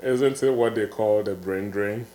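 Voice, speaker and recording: A man's voice: lowish voice, unhurried, dark tone. A Ghanaian man in his thirties with a Ghanaian English accent, guest on a casual interview, laughing and poking fun at himself as he talks. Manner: laughs through his stories, self-mocking.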